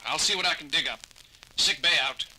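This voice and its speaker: A blunt, busy ship's doctor. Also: male voice, on the high side, brisk, sharp tone, a little hiss or hum, poor audio. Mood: harried. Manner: blunt, harried. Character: gruff busy male starship doctor.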